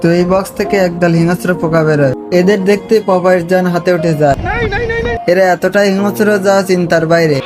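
A male narrator with a noticeably high voice recaps cartoons for laughs. He talks quickly in slangy, exaggerated, mocking phrases. Music plays clearly behind the scripted voice-over. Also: steady tone.

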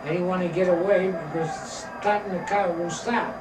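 A man's voice: high voice, steady tone, normal speed, noisy background. An older man with an Italian accent, a grandfather chatting and teasing his family in broken, rambling English at home. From Italy. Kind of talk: casual family chat. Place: wood-paneled living room, baseball on TV. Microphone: camcorder across the table. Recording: echoey home video from far off, TV and voices behind.

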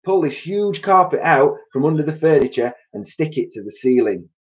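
A man voicing a bossy, excitable monkey father from a children's storybook, snapping impatient orders in a slightly dull recording. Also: a little high, moderate pace, voice pushed up. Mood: excited bossing.

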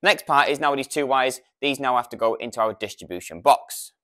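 A man's voice: medium pitch, lively intonation, fairly quick, bright, forceful tone. A British man in his thirties giving an informal, step-by-step product demonstration in a practical, explanatory way, on a lapel mic. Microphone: lavalier lapel microphone.

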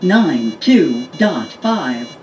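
A woman's voice: deep voice, big pitch swings, very slow, over music. A woman's automated voice giving short prompts, played through radio speakers. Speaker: voice announcement of a car radio. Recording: dull lo-fi radio speaker playback.

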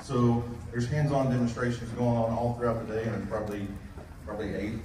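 A man's voice: mid-range voice, level tone, a little fast. A man making informal announcements to an audience and introducing a speaker. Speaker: event organizer. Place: large event hall. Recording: echoey PA in a big hall from far off, crowd noise.

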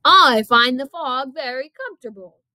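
A woman voicing an eerie, calm and commanding adult woman in a storybook, speaking slowly and deliberately. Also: fairly high pitch, no room sound, lightly acted. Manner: slow, deliberate.

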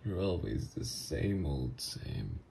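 Male actor as psychopathic, flirty villain ex for ASMR roleplay; dark, mellow tone with swooping intonation, smug and teasing. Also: low voice, sing-song, gravelly, a little hiss or hum, full character voice. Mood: smug menace. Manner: smug, drawn-out, intimate teasing.

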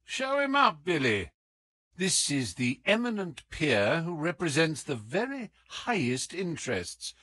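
A male narrator plays a suave gentleman detective of classic storybook fiction. He is affable, formal and teasing, with swooping intonation lifted above an ordinary talking pitch. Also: huge pitch swings, measured pace, dry recording, studio quality, full character voice. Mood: pleased, playful.

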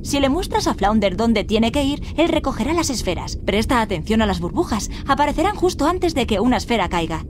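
A woman's voice: fairly high pitch, sing-song, brisk, few pauses, noisy background. A woman reading scripted instructions as the tutorial narrator of a video game.

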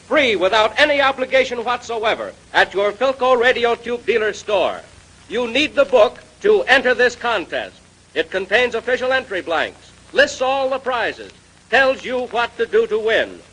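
A man's voice: high voice, pushed and bright, breathy edge, poor audio. A man announcing from a script in an emphatic 1930s radio style. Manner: emphatic, drawn-out key phrases.

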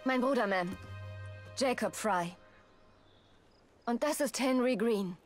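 An actress playing a self-assured young Victorian adventuress in a dramatic game cutscene. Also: female voice, fairly high pitch, takes it slowly, faint music behind. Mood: earnest. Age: young adult.

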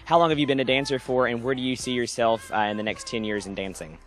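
A man conducting quick backstage interviews with performers at a convention, asking brisk questions and padding with fillers. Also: mid-range voice, fairly bright tone, soft background music.

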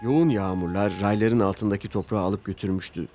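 A man narrating a scripted children's story with expressive storytelling. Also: fairly low pitch, fairly quick. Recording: music loud behind the voice. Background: background music.

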